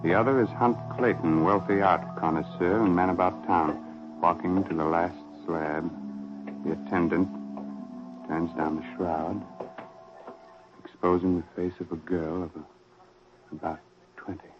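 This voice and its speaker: A man's voice: low-pitched, steady tone, measured pace, long pauses. A man hosting and narrating a 1940s radio horror show in a dramatic, sardonic, theatrical manner. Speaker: radio mystery host and narrator. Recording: muffled 1940s radio recording. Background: music.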